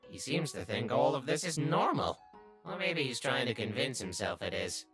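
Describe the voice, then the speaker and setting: Someone doing a sly little cartoon flower, nosy n brooding, sharp swoopy voice, gets exasperated, music under it. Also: mid-range voice, sing-song, normal speed. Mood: puzzled, scheming. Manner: brooding, scheming, bursting into exasperated outbursts. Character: small talking flower, sly, obsessively curious, easily exasperated. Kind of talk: comic dub character narration and dialogue.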